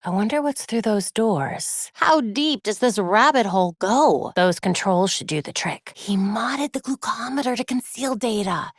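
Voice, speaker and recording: A young female masked crimefighter for a video game. She is quick-witted and wry, with a determined edge under the quips. Her voice sits at a medium pitch, captured in a very dry studio sound. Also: lively intonation, dry recording. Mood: focused, wry. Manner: wry, quippy.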